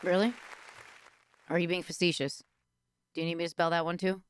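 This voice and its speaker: A woman in her thirties hosting a podcast, with a loud, powerful voice and playful, teasing banter. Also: on the low side, level tone, a little fast, a faint crowd, a little hiss or hum. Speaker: podcast host. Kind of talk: casual chat.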